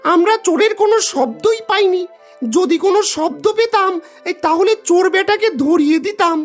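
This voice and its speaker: Woman as a very high-voiced meek cartoon servant. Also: moderate pace, soft background music. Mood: meek, defensive. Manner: deferential. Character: meek household servant pleading innocence to the master. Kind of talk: cartoon voice acting.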